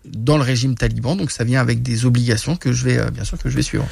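A man from France, a guest telling war stories in a casual studio interview, animated and full of restarts. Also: medium pitch, fast, creaky, husky, dry recording. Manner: animated, many restarts and laughs. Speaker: guest, reporter and influencer. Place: radio studio.

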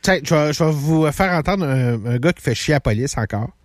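Animated Québécois man hosting a show. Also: a little high, no echo, clean studio sound. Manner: animated storyteller, quick bursts. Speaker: radio show host. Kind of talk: casual chat.

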